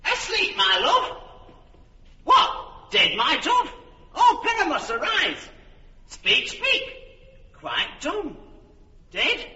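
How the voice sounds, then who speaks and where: An actor playing a clumsy workman who acts the maiden Thisbe, wailing melodramatically in a falsetto in a classic radio drama. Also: huge pitch swings, very slow, pauses often, bright, forceful tone, full character voice. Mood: grieving. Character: male actor playing Thisbe, a lovesick maiden, shrill and overwrought. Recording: roomy, distant, dull broadcast recording.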